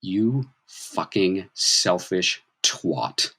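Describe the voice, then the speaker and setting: A game master acting as a stern, angry man in a tabletop game. His voice is low and his delivery is deliberate, blunt and menacing, with coarse language. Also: animated, bright, forceful tone, husky, full character voice. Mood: cold anger.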